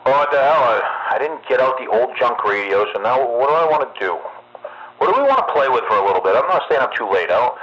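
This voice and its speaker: A man's voice: mid-range voice, sing-song, fast, dull audio. A man chatting casually on CB radio in a rambling, technical, sweary way. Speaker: amateur CB radio operator. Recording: noisy CB radio audio with static.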